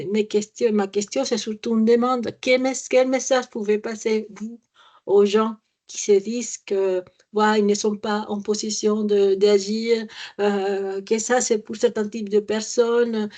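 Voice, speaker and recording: A woman moderating a conference panel who asks her questions haltingly, searching for words. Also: mid-range voice, dark tone.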